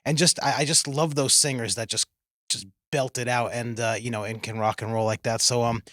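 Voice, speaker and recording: A man in his forties with a Midwestern American accent, a band's bassist and singer, chatting casually with many fillers and restarts. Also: steady tone, brisk, fairly bright tone, no echo, clean studio sound. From Kansas City area. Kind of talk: casual chat. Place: radio studio.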